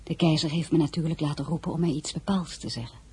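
An actress playing a princess who narrates her memories in a radio drama, measured, composed and reflective. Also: low voice, steady tone, a little mellow, slightly rough. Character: princess recalling her meeting with the emperor, composed.

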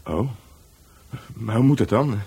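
A man's voice: on the low side, lively intonation, unhurried, creaky, dark tone, slightly rough, exaggerated voice. A man playing a reserved, dryly questioning police sergeant in a Dutch radio play, sometimes awkward and hesitant.

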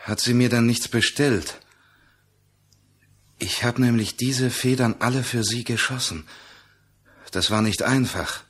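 An actor playing a bewildered, lovelorn jungle hunter in a radio play, plaintive and halting, with a husky voice. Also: male voice, medium pitch. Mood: stunned, pleading. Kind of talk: German radio play acting.

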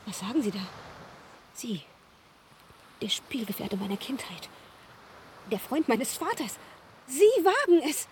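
Over light ambient noise, an earnest, proud young woman in a radio play. Her voice is fairly high and moves expressively up and down. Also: sing-song, measured pace, long pauses. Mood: wounded indignation. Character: earnest, easily wounded young female singer, devout and proud. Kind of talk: German radio drama acting.

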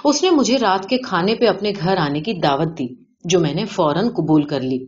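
A woman's voice: on the low side, lively intonation, darkish tone. A woman reading a scripted short story as an audiobook narrator, in a recording with dull, band-limited sound.

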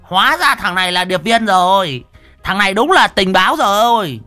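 A man doing an excited gossiping villager. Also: sits high, normal speed, dry recording, faint music behind, voice pushed up, full character voice.

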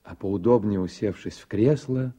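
A man's voice: on the low side, normal speed, dark tone. A man giving scripted literary narration for a radio play.

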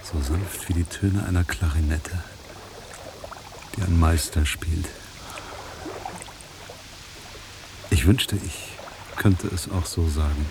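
An actor playing a frustrated journalist in a radio play, dry, ironic and musing, resigned yet affectionate. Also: male voice, deep voice, fairly even intonation, measured pace, background noise.

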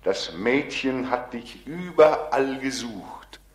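Echoing, insistent voice of hope. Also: male voice, medium pitch, lively intonation, takes it slowly. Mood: urging, solemn. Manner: solemn, urging. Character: insistent, consoling male voice of optimism. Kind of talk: 1940s radio drama acting. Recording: echoing 1940s radio recording.

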